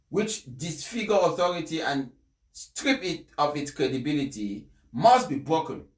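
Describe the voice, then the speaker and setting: A man with a fairly high voice reads out a formal address in a roomy, echoing space. His delivery is solemn and oratorical, set out in short phrases with weighty pauses. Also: fairly bright tone. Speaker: reader of an English translation of a papal speech. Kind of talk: formal read-aloud speech. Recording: echoey room.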